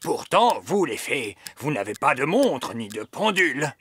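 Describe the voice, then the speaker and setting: A man voicing a wise, stern old elf teacher in a children's cartoon, pedantic and measured. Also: on the high side, big pitch swings, a bit bright, breathy edge, exaggerated voice. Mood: pedantic, approving. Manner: pedantic, measured, drawn-out praise.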